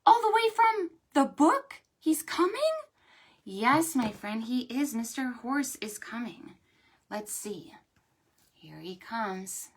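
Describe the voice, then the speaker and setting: A woman hosting a preschool storytime, reading aloud and chatting to young children in an expressive, sing-song way. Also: fairly high pitch, slow. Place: at home or indoors, livestreamed.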